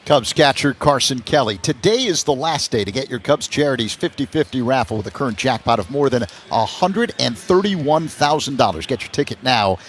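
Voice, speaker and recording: A man's voice: mid-range voice, lively intonation, a little fast, few pauses. A man reading scripted announcements as a sports radio announcer.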